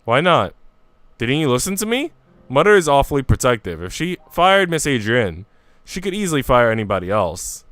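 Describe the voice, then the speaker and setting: A man's voice: mid-range voice, big pitch swings, normal speed, fairly bright tone, no room sound. A man voicing a haughty, guarded young aristocratic girl in a visual novel, snappish and dismissive. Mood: guarded, wistful.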